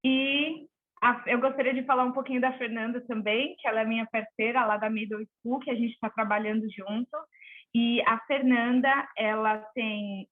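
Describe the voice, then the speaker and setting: A Brazilian woman with a fairly high voice presents informally as a teacher. Her voice comes through a tinny, phone-like online call. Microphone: video call.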